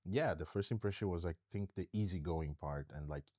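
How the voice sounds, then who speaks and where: Podcast co-host, young man from Italy, deep relaxed voice, easygoing casual delivery, dry close sound. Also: a little fast, no room sound. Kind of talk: casual conversation.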